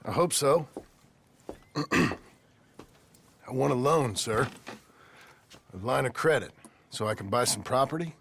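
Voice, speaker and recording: An actor playing a humble, gruff cowboy with a rural American accent in a western video game, husky and gravelly, hesitant, polite and plain-spoken. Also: male voice, mid-range voice, lively intonation, takes it slowly, long pauses, fairly bright tone, slight background noise, exaggerated voice. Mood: earnest. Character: humble gruff cowboy gone straight, seeking a loan.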